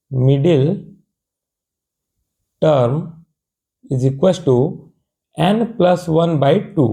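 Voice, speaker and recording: A male tutor, hoarse from a cold, explains a math problem step by step. He coaxes his students along, asks rhetorical questions and checks in often. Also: on the high side, dark tone. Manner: calls students "babu" in a patient, coaxing tone.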